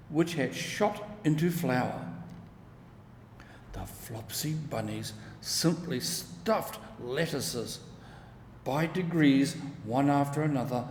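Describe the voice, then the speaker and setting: A man narrates a children's story from a script in a measured, deliberate way. He reads very slowly at a middling pitch. His voice rings in an echoey, reverberant space. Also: darkish tone. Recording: echoey reverberant room with clear background hiss.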